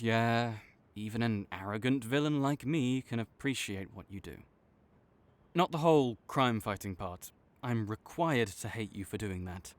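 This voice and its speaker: A man playing an arrogant, teasing supervillain rival with a soft spot, speaking theatrically to the listener in an audio roleplay. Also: mid-range voice. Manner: smug, teasing, theatrical with sighs. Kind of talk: dramatic roleplay monologue spoken to the listener.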